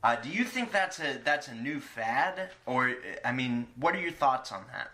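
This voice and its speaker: A man hosting a casual podcast interview, halting and searching for his words as he talks. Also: mid-range voice, lively intonation, sharp tone. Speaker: podcast host.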